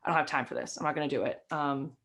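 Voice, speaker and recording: A young woman with a faint Southern American lilt talks in a low, easygoing voice. She tells long stories full of fillers and asides. The sound is from a webcam in a home room. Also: fast talker, vocal fry, fairly bright tone. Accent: light Southern American. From Southern United States. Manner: chatty, storytelling, many fillers. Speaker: guest, stream host and comic. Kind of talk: casual interview chat. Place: decorated home room with framed posters.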